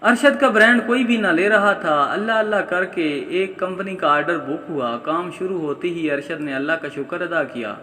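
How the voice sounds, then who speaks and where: Reverberant, bright-voiced male story narrator. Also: high voice, moderate pace, hardly any pauses, slight background noise. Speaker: audiobook narrator. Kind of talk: dramatic scripted story narration. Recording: long hall-like reverb.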